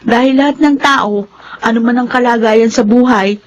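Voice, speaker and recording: A woman's voice: on the high side, normal speed, highs cut off, background noise, natural voice. A Filipino woman playing a principled, dutiful young elder daughter in a radio drama. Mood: firm.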